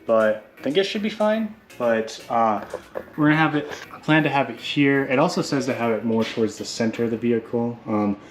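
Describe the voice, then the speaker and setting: A man's voice: mid-range voice, lively intonation, a little fast. A man talking casually and informally through a hands-on how-to, rambling a little as he explains. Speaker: hobbyist presenter of a how-to video. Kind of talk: casual hands-on tutorial talk.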